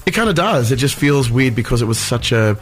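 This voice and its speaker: Earnest Australian man, halting. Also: medium pitch, animated, faint music behind. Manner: earnest, halting with repeated words. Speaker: singer and guest. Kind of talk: radio interview chat.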